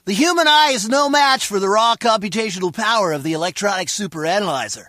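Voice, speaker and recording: A man's voice: high-pitched, lively intonation, fast, pushed and bright, no room sound, clean studio sound. An actor playing a pompous, fussy male scientist in a video game, speaking with pedantic precision. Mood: smug.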